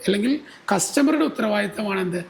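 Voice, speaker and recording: High-pitched man explaining, slightly creaky. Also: expressive, fast, slight background noise. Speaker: guest expert on a company podcast. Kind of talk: explanatory interview answers with some English terms.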